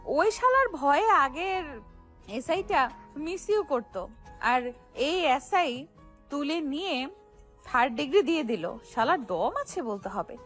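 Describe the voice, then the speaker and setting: A woman's voice: high voice, a bit bright. A woman playing a brash, defiant young pickpocket gang boss in an audio romance story, sassy, slangy and argumentative. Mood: impressed, scornful. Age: young adult.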